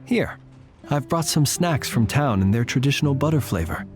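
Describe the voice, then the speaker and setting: A courtly, flattering gentleman from a video game, as a man plays him. His voice sits at a mid pitch but moves expressively through his polite phrasing. Game music with guitar plays clearly behind him. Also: moderate pace, natural voice. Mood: courteous.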